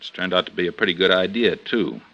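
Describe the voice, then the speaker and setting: An actor playing a seasoned, cautious police lieutenant giving measured advice in an old radio drama. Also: male voice, low voice, bright, forceful tone, lo-fi sound. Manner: measured, world-weary advice. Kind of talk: 1950s radio drama acting.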